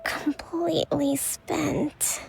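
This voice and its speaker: A weary gentle priestess. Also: female voice, high-pitched, flat delivery, drawn-out words, heavily acted. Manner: soft-spoken, often half-whispered, sighing. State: tired. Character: young female priestess leader, gentle, weary and modest. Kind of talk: video game voice acting. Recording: game audio with clear background music.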